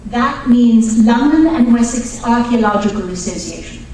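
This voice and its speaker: Heard from far off in a very echoey hall, a woman plays a genteel lady archaeologist in a radio play. She is eager, polite and earnest, with a fairly high voice over faint noise. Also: animated, normal speed, warm tone, natural voice. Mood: earnest, proud. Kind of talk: old-time radio drama acting on stage.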